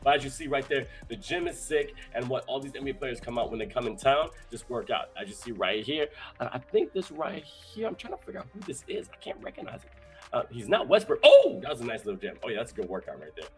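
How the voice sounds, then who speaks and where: A young adult man hosting a live streamed show, hyped and energetic, his voice hoarse from talking so much. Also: medium pitch, fast, faint music behind. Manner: energetic, shouting out viewers in chat. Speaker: host.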